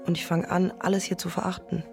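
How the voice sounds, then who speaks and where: A woman's voice: fairly low pitch, little pitch movement, fast talker, gravelly, music in the background. A woman playing a conflicted podcaster who narrates her love story in the first person, intimate and introspective. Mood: introspective, uneasy. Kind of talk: scripted first-person narration, present tense.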